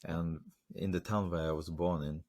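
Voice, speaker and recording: A man with a very deep, low voice. He hosts a podcast interview and tells stories in a relaxed, rambling way, full of fillers and restarts. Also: normal speed. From Slovakia. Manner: rambling, storytelling with many fillers. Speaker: podcast host. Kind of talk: casual interview chat.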